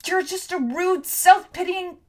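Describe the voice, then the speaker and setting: A woman voicing a desperate, angry teenage boy who shouts at his friend. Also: extremely high pitch, measured pace, pitched above the performer's own voice.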